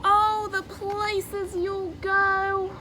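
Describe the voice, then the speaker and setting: A young woman with a high voice does a children's read-aloud. Her pace is very unhurried and her delivery has a sing-song storybook lilt. There is faint noise behind her. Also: monotone, sharp tone. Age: 20s. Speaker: new education graduate reading a children's book.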